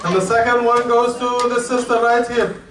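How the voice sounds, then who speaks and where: A man's voice: very high voice, steady tone, moderate pace, noisy background. A man from Egypt giving an informal religious talk to schoolchildren, conversational and repetitive, with frequent fillers. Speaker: teacher giving a talk to students. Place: school hall with children. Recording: echoey hall, distant mic, faint crowd.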